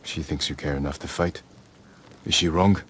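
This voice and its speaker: An actor playing a stoic, dutiful samurai outlaw in a dramatic game cutscene, speaking in a solemn, measured, earnest manner. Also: male voice, a bass voice, steady tone, a bit bright, a little hiss or hum. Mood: firm, persuasive. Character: stoic, dutiful samurai man turned outlaw, guilt-laden but resolute.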